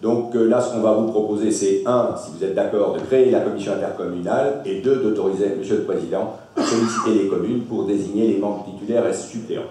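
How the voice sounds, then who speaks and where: A man's voice: fairly low pitch, dark tone. A man, a local councillor giving a semi-improvised report at a council meeting, speaking hesitantly and correcting himself. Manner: hesitant, halting, self-correcting. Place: large echoey meeting hall. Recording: echoey hall, distant mic, faint noise.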